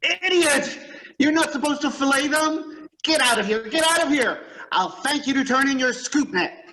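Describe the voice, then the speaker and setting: A man's voice: very high-pitched, animated, normal speed, sharp tone, a little hiss or hum, full character voice, poor audio. An actor playing a fussy, short-tempered male store supervisor in an old-time radio comedy. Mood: furious.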